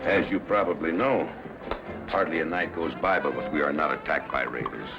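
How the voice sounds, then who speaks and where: An actor playing a proud, wealthy Spanish ranch owner in a western drama, speaking formally and grandly. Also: male voice, very deep voice, moderate pace, muffled recording, music in the background. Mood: grave, formal. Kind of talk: western radio drama acting.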